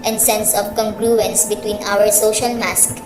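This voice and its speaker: A woman's voice: mid-range voice, flat delivery, measured pace, noisy background. A woman reading scripted narration aloud. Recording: echoey room with music.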